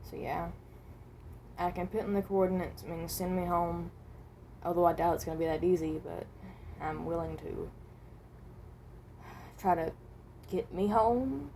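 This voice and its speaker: A woman voicing a reluctant, resigned animatronic rabbit from another dimension in a fan roleplay, speaking haltingly and correcting herself. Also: lowish voice, warm tone, a little hiss or hum. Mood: weary, resigned. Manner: hesitant, halting, self-correcting.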